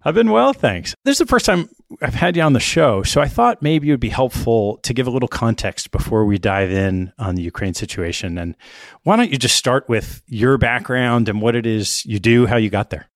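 A man hosting a podcast, reading a measured scripted introduction and then asking his guest questions. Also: mid-range voice, dry recording, studio quality. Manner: measured, lively intonation. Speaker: podcast host.

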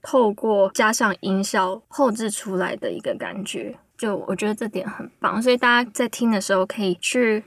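Woman chatting as a writer guest on a podcast, medium pitch, very dry recording. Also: lively intonation, moderate pace, creaky, hardly any pauses, a bit bright, no echo. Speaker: guest scriptwriter. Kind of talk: casual podcast discussion.

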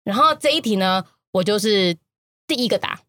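A woman's voice: medium pitch, expressive, moderate pace, a bit bright. A woman with a Taiwanese Mandarin accent telling stories casually as a podcast guest. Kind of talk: casual, animated storytelling in conversation.

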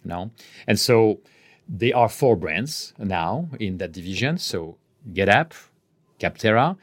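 A man in his fifties from France with a French accent, answering interview questions in a measured way, pausing mid-phrase and letting his voice trail off softly. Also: fairly low pitch. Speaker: guest executive.

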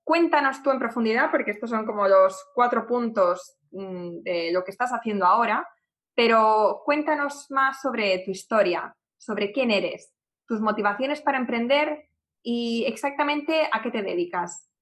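A woman's voice: a little high, normal speed, fairly bright tone. A woman hosting an interview, introducing her guest in a casual, friendly manner. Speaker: interviewer, podcast host.

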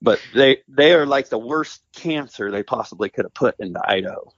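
A man's voice: medium pitch, animated, a little fast, slightly rough, no room sound. A man who works as a hunting guide, talking bluntly in a casual interview, full of "I mean" and "you know". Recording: dry, muffled podcast audio.

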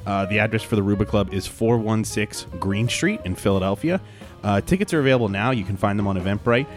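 A man's voice: fairly low pitch, fast talker, soft background music. Laid-back man hosting a podcast. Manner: laid-back, rambling with fillers and frequent laughs. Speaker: podcast host. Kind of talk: casual chat.

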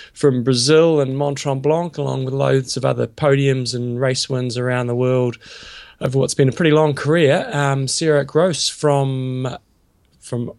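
A man co-hosting a podcast talks in a relaxed, gravelly voice. His recording is dry and close, with no room sound. He chats casually and reads a sponsor spot. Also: mid-range voice, no echo. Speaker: podcast co-host. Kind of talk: casual chat and sponsor read.

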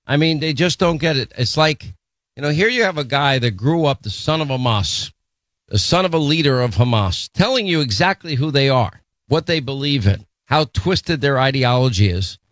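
A man's voice: mid-range voice, lively intonation, moderate pace, a bit bright, dry recording. A man hosting a talk radio show, commenting in an indignant, emphatic way into a studio mic. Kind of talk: opinion commentary.

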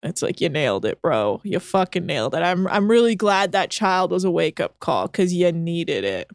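A woman's voice: lowish voice, sing-song, moderate pace, creaky, no room sound. Sarcastic woman recapping in casual chat. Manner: sarcastic, swears freely. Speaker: podcast co-host.

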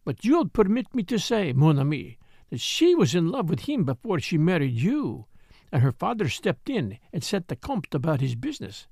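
A man's voice: a little high, wildly swooping pitch, moderate pace, a little mellow, husky, no echo, studio quality, heavily acted. A man reading a storybook, voicing a scheming, teasing Parisian woman dancer with a French accent and a purring, insinuating manner. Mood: knowing, gossiping.

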